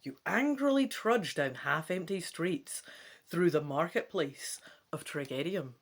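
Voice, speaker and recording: A man's voice: fairly high pitch, lively intonation, unhurried, a bit bright. A man giving a dramatic narration as he plays through a fantasy gamebook.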